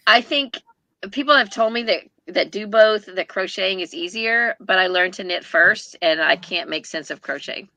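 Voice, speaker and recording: A woman's voice: mid-range voice, unhurried, bright, forceful tone, no echo, poor audio. A woman hosting a casual group book chat, talking in a chatty, playfully teasing way. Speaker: host of a book chat livestream. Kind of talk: casual chat, reading viewer comments. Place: indoors on a group video call.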